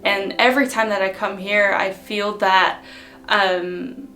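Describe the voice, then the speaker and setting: A woman from the Netherlands, giving an unhurried, reflective motivational talk to her viewers with frequent ums. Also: medium pitch, level tone, sharp tone, soft background music. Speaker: personal development vlogger.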